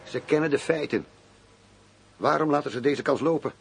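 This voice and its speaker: A man acting a determined police commissioner in a radio play, speaking in a firm, measured manner. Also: a little high, creaky. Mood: exasperated. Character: determined foreign police commissioner working undercover, male. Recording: faint noise, radio play recording.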